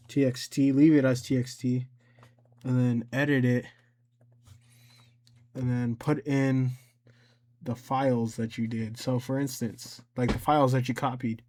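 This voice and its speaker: A man giving a casual software tutorial, rambling and thinking aloud as he works through each step. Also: medium pitch. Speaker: tutorial presenter.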